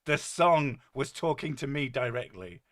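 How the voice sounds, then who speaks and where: Deep, boomy man on a home stream. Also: expressive, a little slow, fairly bright tone. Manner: joking, rambling, swears casually. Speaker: streamer host. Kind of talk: casual stream chat. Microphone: desk mic set well above his head.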